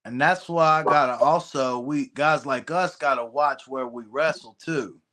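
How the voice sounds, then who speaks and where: A man's voice: mid-range voice, a little slow, bright, forceful tone. A man who wrestles, a podcast guest, talking casually and emphatically, peppering his talk with "bro.". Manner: emphatic, agreeing, slangy "bro". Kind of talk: casual chat.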